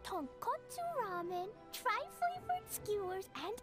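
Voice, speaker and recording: A woman voicing a sweet, excitable little girl in a video game, with a childlike, eager, innocent way of talking. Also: extremely high pitch, takes it slowly, heavily acted. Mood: wide-eyed curious. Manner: eager, artless childish wording. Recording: loud music bed underneath.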